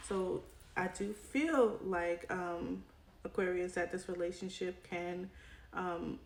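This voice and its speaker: A woman with a fairly low voice talks her viewers through a tarot spread, checking in with "right?" as she goes. She is coming down with a cold, and her voice sounds worn. The room is echoey, with cards shuffling and clicking clearly in the background. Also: steady tone, slow pace, a little hiss or hum. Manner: chatty, addresses viewer directly with frequent "right?". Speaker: tarot reader. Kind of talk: casual tarot reading to camera.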